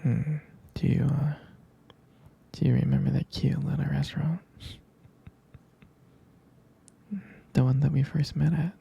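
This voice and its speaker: A man playing a jealous, devoted boyfriend in an ASMR roleplay, speaking intimately and haltingly in a breathy, husky whisper. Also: medium pitch, little pitch movement, dark tone, lo-fi sound, heavily acted. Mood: tender, soft. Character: jealous, possessive, devoted boyfriend soothing his sweetheart.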